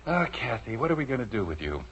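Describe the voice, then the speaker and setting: Wry sitcom dad, very animated pitch. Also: male voice, quick pace, husky. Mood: gently scolding. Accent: American. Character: mild, wry, patient middle-aged family father. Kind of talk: 1950s radio sitcom acting. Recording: dull old radio recording, faint noise.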